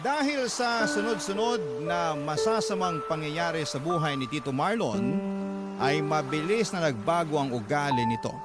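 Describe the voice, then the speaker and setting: A man's voice: a little high, lively intonation, normal speed. A man narrating a scripted first-person story for a Filipino radio drama. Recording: loud music bed under narration.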